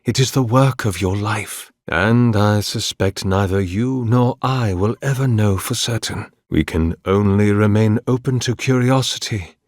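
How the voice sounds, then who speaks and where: A man acting a video game role as a weary, courteous, devout scholar-priest of a sun god, speaking in measured, formal, reverent phrases. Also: fairly low pitch, a little mellow, no room sound, clean studio sound, lightly acted. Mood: thoughtful. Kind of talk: video game character dialogue, formal and archaic.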